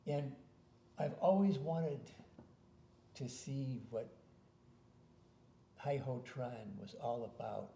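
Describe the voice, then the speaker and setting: A man's voice: mid-range voice, takes it slowly, gravelly, pauses often, dark tone, echoey room, slight background noise. A man hosting a casual interview at home, asking rambling questions with many hesitations. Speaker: host. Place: home after dinner.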